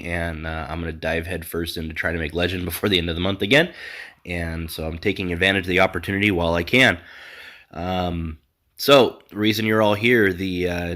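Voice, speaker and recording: A young man with a congested, nasal voice casually talking through a game build, with lots of ums and a relaxed, self-deprecating manner. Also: fairly low pitch. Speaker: gaming channel host. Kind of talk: casual game review commentary.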